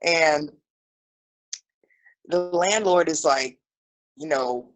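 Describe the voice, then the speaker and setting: A woman's voice: low voice, extremely slow, soft background music, poor audio. A woman in her thirties from Brazil, an English teacher, chatting casually about a comedy film on a video call. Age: 30s. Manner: relaxed, chatty, lots of "you know". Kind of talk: casual storytelling on a livestream.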